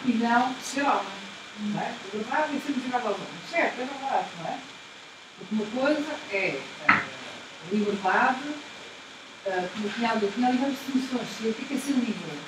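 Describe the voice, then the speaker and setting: A woman's voice: on the high side, animated, brisk. A woman talking in casual discussion, emphatic and repetitive, often asking rhetorical questions. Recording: echoey room, distant mic, noisy.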